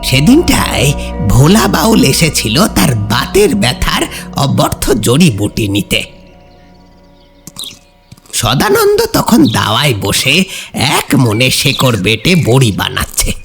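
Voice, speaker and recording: Male narrator for village ghost tales; gravelly fry, animated theatrical intonation, suspenseful pauses. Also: mid-range voice. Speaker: horror story narrator. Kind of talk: dramatic scripted narration of a folk ghost story. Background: background music.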